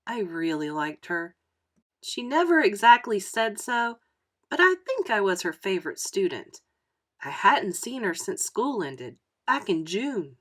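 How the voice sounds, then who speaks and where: A woman storyteller narrating a children's book aloud in an expressive style. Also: medium pitch, measured pace. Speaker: read-aloud storyteller, teacher.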